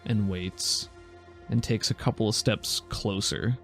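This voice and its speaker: A man's voice: fairly low pitch, steady tone, takes it slowly, a bit bright, soft background music. A man narrating a tabletop game as game master and podcast host, in an informal, descriptive storytelling way.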